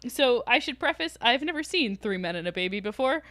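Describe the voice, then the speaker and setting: A woman's voice: fairly high pitch, lively intonation, a little fast, a bit bright, no echo. Female podcast co-host, conversational storyteller, dry studio-close sound. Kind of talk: casual chat, telling film legends.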